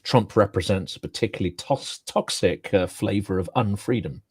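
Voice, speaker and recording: A man hosting a political livestream, talking in a wry, measured way with some fillers. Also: fairly low pitch, husky, no room sound, studio quality. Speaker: host. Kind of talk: casual political talk.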